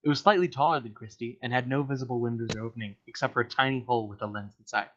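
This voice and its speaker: A man's voice: medium pitch, racing, fairly bright tone, a little hiss or hum. A man reading a story aloud in an amateur dramatic style, now and then stumbling over words and correcting himself. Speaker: reader of a comedic story to friends in a voice channel. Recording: dull lo-fi voice chat audio.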